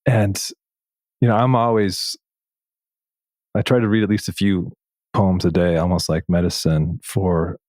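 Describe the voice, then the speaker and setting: A man hosting a podcast interview in a conversational way, appreciative and gentle, feeling his way through his phrasing. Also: deep voice, little pitch movement, measured pace, long pauses, dry recording. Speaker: podcast host.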